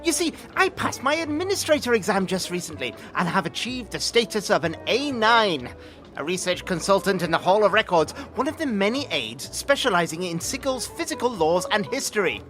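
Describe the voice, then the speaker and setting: A man's voice: sits high, sing-song, brisk, a bit bright. A man playing a nervous, bookish records clerk in an audio drama, speaking formally and apologetically with a fussy stammer. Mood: flustered, eager. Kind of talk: acted audio drama dialogue. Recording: music under the voice.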